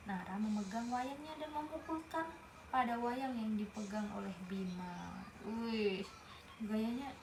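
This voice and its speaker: A woman reading a picture book aloud, stopping to explain the story to a young listener in a chatty way. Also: on the high side. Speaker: storybook reader. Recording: roomy with noticeable background noise.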